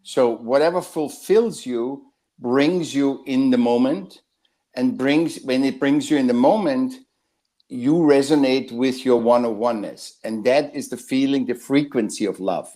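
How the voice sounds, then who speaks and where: Guy on a video call, rambly, keeps restarting his sentences, middle pitch. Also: dark tone. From Austria. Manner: rambling, halting, with many restarts. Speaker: guest artist. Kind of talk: casual interview talk.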